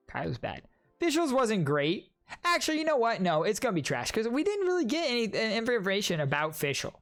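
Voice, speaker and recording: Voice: mid-range voice, a bit bright. Chatty streamer reacting to trailers. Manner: chatty, joking, addresses chat directly. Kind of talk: casual reaction commentary.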